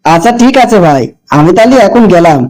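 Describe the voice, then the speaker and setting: Friendly village neighbour, cartoon, male actor: rapid chatty delivery, high register, rural Bangladeshi accent. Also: lively intonation, a little mellow, rough recording. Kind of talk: amateur cartoon voice acting.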